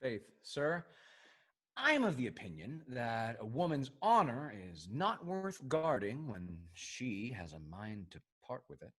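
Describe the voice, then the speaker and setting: An actor playing a bold, amorous young English rover captain in a classical stage comedy, with a slightly husky voice and a theatrical swagger. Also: male voice, mid-range voice, sing-song, measured pace, a bit bright, full character voice. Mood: bold gallant.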